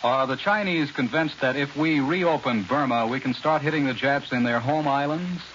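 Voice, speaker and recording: A man's voice: mid-range voice, moderate pace, a bit bright. Male American news anchor for 1940s radio, scripted delivery, mid-range voice, dull hissy broadcast sound. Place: New York radio studio. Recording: hissy, band-limited 1940s radio recording.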